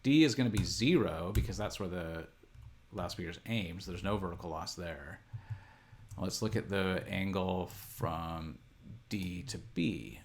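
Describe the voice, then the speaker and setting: Middle aged guy, lowish gravelly voice, walking thru a tutorial step by step, kinda halting. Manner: halting, methodical, talking through each step. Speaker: instructor. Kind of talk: unscripted screen-share tutorial, thinking aloud. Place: indoor room at a desk, computer screen recording.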